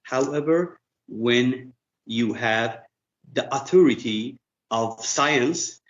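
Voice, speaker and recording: A man speaks slowly as an interview guest, pausing often and filling gaps as he thinks. His voice comes through a dull, lo-fi recording. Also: mid-range voice. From Iran. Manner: thoughtful, halting with frequent fillers. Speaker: guest expert. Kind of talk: interview conversation in English.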